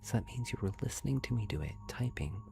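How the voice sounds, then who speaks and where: A man hosting an ASMR livestream, speaking in a breathy whisper and rambling directly to his chat viewers. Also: expressive, quick pace, noisy background. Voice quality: breathy whisper with creaky low voice.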